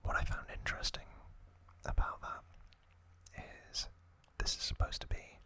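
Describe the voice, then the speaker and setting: Slow, bright-whispering male ASMR host. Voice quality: whispered, breathy, unvoiced. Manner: slow, hushed, short halting phrases. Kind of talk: whispered ASMR trivia narration.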